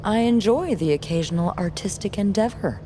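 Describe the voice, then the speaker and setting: An actress playing a wry, warm, teasing young hotel receptionist in a video game, with an expressive, conspiratorial way of talking. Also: female voice, medium pitch, fairly quick, slight background noise, natural voice. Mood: relaxed, wry. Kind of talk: naturalistic video game acting.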